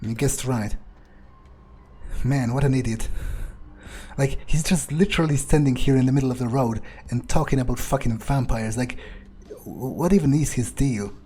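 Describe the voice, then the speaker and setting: Mellow-voiced man muttering scornfully. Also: mid-range voice, moderate pace, slightly rough. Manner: disdainful, hesitant muttering, heavy swearing. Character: cynical, scornful male vampire. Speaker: gamer playing in character. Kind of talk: in-character gameplay monologue, thinking aloud.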